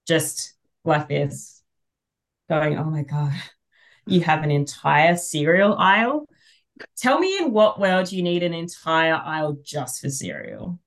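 A woman's voice: deep voice, gravelly. An Australian woman chatting casually as a podcast guest, telling wry, exaggerated anecdotes and acting out the dialogue. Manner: animated anecdote teller who acts out dialogue, wry exaggeration. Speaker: podcast guest, fantasy author. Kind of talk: casual chat, humorous storytelling.

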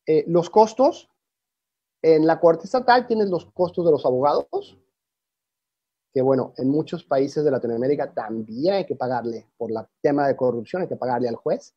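A man's voice: on the high side, normal speed, breathy edge, no echo, dull audio. Man w/ portuguese accent explaining stuff on a video call, restarts a lot, dry dead sound. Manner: explanatory, with frequent restarts and fillers. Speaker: arbitration lecturer. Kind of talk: webinar presentation.

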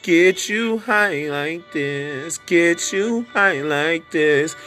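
Male freestyler, raspy and scratchy, high-ish voice, slow stretched-out crooning, loud beat underneath. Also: expressive. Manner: crooning, drawn-out sung lines with loose ad-libs. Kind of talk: freestyle singing and rapping over a beat. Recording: loud backing music under the voice.

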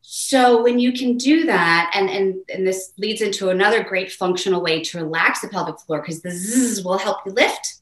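A woman's voice: moderate pace, hardly any pauses, echoey room, low-quality recording. A woman with a mezzo voice, a voice teacher explaining technique animatedly in an interview. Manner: animated, demonstrative, shifts pitch to show points. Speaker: voice teacher guest.

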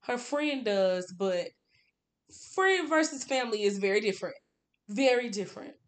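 A woman's voice: on the high side, lively intonation, normal speed. A woman co-hosting a podcast, talking through a book in a casual, candid way and often repeating words for emphasis. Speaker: podcast co-host.

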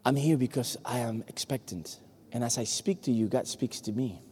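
A man from Puerto Rico, Spanish his first language, with a Puerto Rican accent, preaching an animated, joking sermon to a congregation. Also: mid-range voice, moderate pace, breathy edge. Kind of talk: lively sermon. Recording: long hall reverb.

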